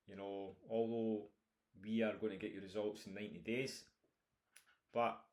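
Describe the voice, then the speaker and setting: Scottish guy coaching a nutrition course, lowish voice, chatty and loose with lots of ums. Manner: chatty, informal, many fillers and restarts. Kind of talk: recorded course introduction.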